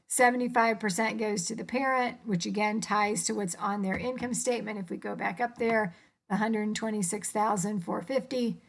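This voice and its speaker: A woman's voice: medium pitch, creaky, fairly bright tone. A woman instructor informally explaining worked accounting problems step by step.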